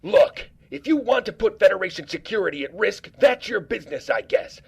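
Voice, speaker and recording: A man's voice: high voice, big pitch swings, fairly quick, vocal fry, husky, exaggerated voice. An actor playing a determined male Starfleet acting captain, with firm, clipped officer's speech. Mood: commanding. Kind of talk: fan audio drama acting.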